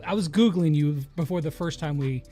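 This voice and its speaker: A man with a notably high voice conducts a casual interview. He talks informally, as in a friendly chat between two people. Some music sits behind him. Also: fairly quick, warm tone.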